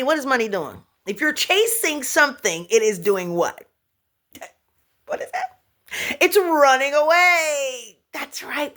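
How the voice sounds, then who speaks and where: A woman's voice: high voice, fairly bright tone. A woman giving a casual spiritual talk to her viewers, laughing often, humming and scatting between thoughts, and using pet names for her audience. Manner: repeats and restarts words, pet names for the audience. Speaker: spiritual teacher.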